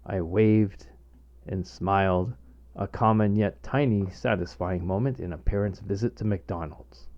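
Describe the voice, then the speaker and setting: A man's voice: low-pitched, a little slow. A middle-aged man reading his own scripted humorous short story aloud as narrator. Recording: dull, lo-fi home recording.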